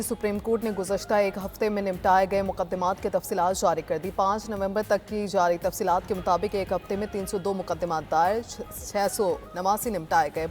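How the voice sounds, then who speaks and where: A female news anchor with a Pakistani accent reads headlines from a script. Her voice is of middling pitch, and music runs softly behind it. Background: music bed.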